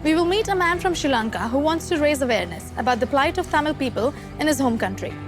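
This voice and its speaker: Woman presenter, high voice, scripted TV narration over music. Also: animated, quick pace. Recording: background music under voice.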